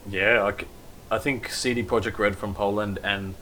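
A man with a lowish voice talks through a news recap in a loose, rambling way, leaning on fillers. A faint hum of background noise sits under him. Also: steady tone, normal speed, bright, forceful tone. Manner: rambling, many fillers like basically and kind of. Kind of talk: casual news commentary.

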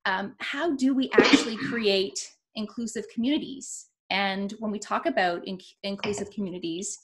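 A woman hosts an interview podcast, her voice sitting at an ordinary middle pitch. She talks earnestly and loosely, restarting sentences and leaning on fillers as she sets up her questions. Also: fairly even intonation, normal speed, low-quality recording. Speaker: podcast host. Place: rural region of Ontario, Canada.

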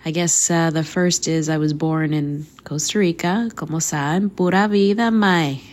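A woman in her thirties, hoarse from a flu, hosting a faith podcast in an earnest, casual way, laughing easily and dropping to a soft near-whisper. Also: low voice, fairly even intonation, moderate pace. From Canada. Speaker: podcast host.

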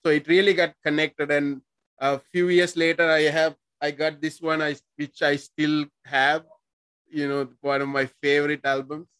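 A man's voice: on the high side, little pitch movement, moderate pace, fairly bright tone, clean tone, no echo. A man from Sri Lanka hosting an interview, chatting casually and often giving short agreements. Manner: friendly, frequent short agreements. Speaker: podcast host.

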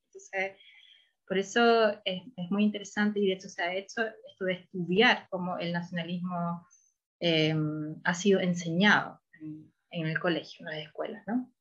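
A woman's voice: lowish voice, fairly even intonation, normal speed, a bit bright, highs cut off. A woman, a researcher answering interview questions in a thoughtful, self-correcting way. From Chile. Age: 40s. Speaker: researcher guest.

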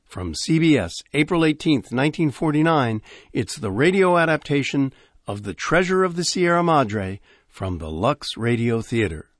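A man's voice: medium pitch, big pitch swings, normal speed, dry recording, clean studio sound. Polished older male radio host. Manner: smooth, informative announcing. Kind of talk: scripted radio introduction.

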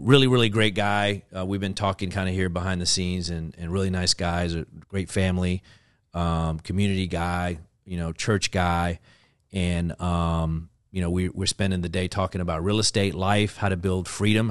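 Deep, smooth man hosting a podcast. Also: moderate pace, dry recording, clean studio sound. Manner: relaxed, casual, swears freely, many fillers. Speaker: podcast host. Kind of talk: casual interview chat.

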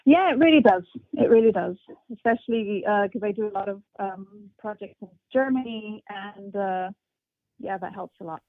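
A woman's voice: medium pitch, moderate pace, dry recording. A young adult woman with a mixed international English accent, answering interview questions thoughtfully, with fillers and restarts. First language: English and Portuguese. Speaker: flautist guest. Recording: tinny phone-like line.

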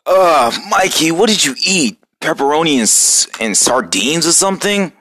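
A man voicing a hot-headed young male turtle ninja with a gruff New York accent and a tough way of talking. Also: on the high side, sing-song, normal speed, pushed and bright, a little hiss or hum. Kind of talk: fan voice acting of a cartoon.

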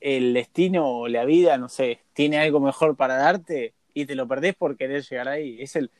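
A man's voice: medium pitch, normal speed, a little mellow, no room sound, studio quality. A man, chatting agreeably and sharing anecdotes in a casual conversation.

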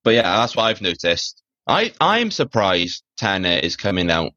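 Young guy, really deep voice, rambly podcast chat, kinda muffled dry sound. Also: wildly swooping pitch, moderate pace, bright, forceful tone, dry recording. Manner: rambling, filler-heavy, opinionated. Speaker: podcast co-host. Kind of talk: casual chat.

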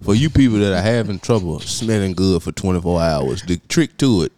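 A man's voice: low voice, expressive, fairly quick, a little mellow. A man hosting casual comedic banter with friends, joking and swearing freely, repeating phrases for emphasis. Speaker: podcast host.

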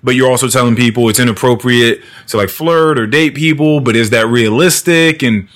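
A man hosting a relaxed podcast chat. His pitch sits mid-range for a man, and his delivery is loose and sprinkled with swearing. He slips into other people's voices when he quotes them. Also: fairly quick. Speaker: podcast host. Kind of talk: casual podcast conversation.

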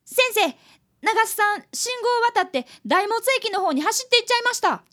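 A high, animated schoolboy played by a woman in a radio drama. He is an earnest apprentice detective, polite and careful to explain things, with very lively intonation. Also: normal speed, full character voice. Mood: earnest, urgent. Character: earnest fifth-grade boy, apprentice detective and narrator. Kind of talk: radio drama narration and dialogue.

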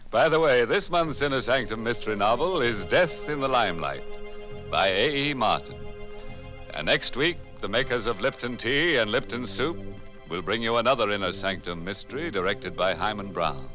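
An actor playing a ghoulish, gleeful horror host on 1940s radio, with mock-sinister, drawn-out delivery of macabre puns. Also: male voice, on the low side. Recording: 1940s radio recording with music.

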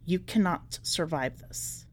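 A woman's voice: fairly low pitch, takes it slowly, darkish tone, breathy edge, voice pushed down. A woman voicing the bleak, desperate inner voice of a doomed prisoner in terse, clipped warnings, for a story reading. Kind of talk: dramatic short story reading.

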